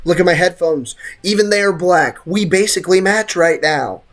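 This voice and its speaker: A woman's voice: fairly low pitch, normal speed. A woman streaming a game, chatting casually and trading slangy, joking banter with viewers. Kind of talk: casual livestream chat and game commentary.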